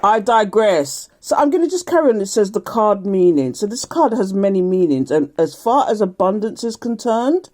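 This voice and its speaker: A middle-aged woman a croaky voice giving a casual daily tarot reading, chatty and laughing often. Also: medium pitch, big pitch swings, normal speed, few pauses, darkish tone. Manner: chatty, laughs often, reads aloud from a card booklet. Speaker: tarot reader.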